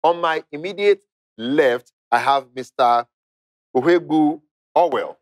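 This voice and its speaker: A middle-aged man hosting a television quiz show in a studio, theatrical, drawing out key words, on a lapel mic. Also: unhurried. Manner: theatrical, swings pitch and drags out key words. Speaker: game show host. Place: indoor TV studio set. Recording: faint music behind.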